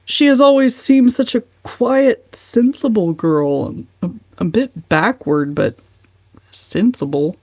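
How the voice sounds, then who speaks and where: A woman's voice: mid-range voice, expressive, slow, a little mellow, no echo, pitched above the performer's own voice. A woman voicing a mild, bewildered older uncle who speaks hesitantly in an audiobook.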